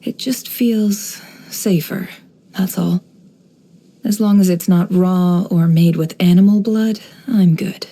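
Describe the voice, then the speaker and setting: A shrewd young woman from a video game, with a lowish, dark and velvety voice. She speaks in a composed, measured way, guarded and wry, as if she knows more than she says. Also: steady tone. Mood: matter-of-fact, guarded. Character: cool, shrewd, guarded young desert-born woman running an information business. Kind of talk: scripted game character voice lines.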